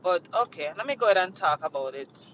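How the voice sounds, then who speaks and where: A woman's voice: on the low side, expressive, fast talker, fairly bright tone, lo-fi sound, slight background noise. A woman from Jamaica, chatting casually on a livestream, rambling and repeating herself as she greets viewers from inside a vehicle. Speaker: livestream host. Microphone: phone mounted on dashboard.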